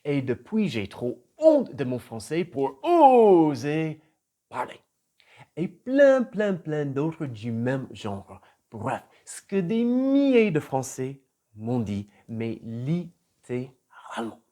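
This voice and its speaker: An American man from Florida, middle-aged, teaching with lots of energy. His voice is warm and mellow, and it jumps up for emphasis and drops low again, swinging through a wide range. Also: takes it slowly. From United States (Florida). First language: English. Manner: animated and emphatic, swings between loud punches and soft drops. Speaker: English trainer and author. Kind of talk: motivational video lesson.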